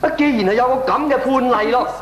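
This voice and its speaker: A man's voice: very high-pitched, fast talker, crowd noise. An actor playing a scheming, gleeful male neighbour in a comic radio drama, with a Hong Kong Cantonese accent. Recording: echoey radio play with music behind.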